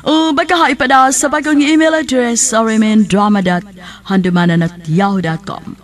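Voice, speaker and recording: A woman's voice: fairly high pitch, fairly even intonation, moderate pace. A woman with a Cebuano Filipino accent reading a scripted closing announcement as a radio drama announcer.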